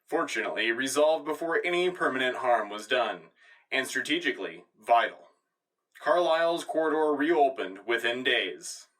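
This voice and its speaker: A man's voice: mid-range voice, lively intonation, normal speed, fairly bright tone, distant mic, poor audio. A man playing an earnest, patriotic wartime radio anchor, delivering a solemn, declamatory scripted newscast. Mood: solemn, rousing. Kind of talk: scripted propaganda newscast narration.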